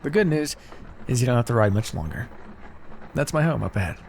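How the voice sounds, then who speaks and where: A man's voice: medium pitch, sing-song, a little fast, gravelly, darkish tone, in a large hall, a little hiss or hum. A man playing a pragmatic, chatty necromancer in a casual, wry ASMR fantasy roleplay. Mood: easygoing, confident. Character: pragmatic, chatty male necromancer, matter-of-fact and ambitious. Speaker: roleplay voice actor. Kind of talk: ASMR fantasy roleplay, one-sided dialogue.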